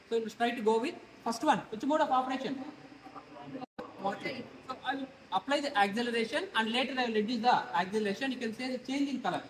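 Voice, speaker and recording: A man's voice: very high-pitched, background noise. Indian man teaching in an echoey workshop. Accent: Indian English. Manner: quizzes students with rhetorical questions. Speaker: instructor. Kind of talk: classroom demonstration. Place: large open workshop hall with students.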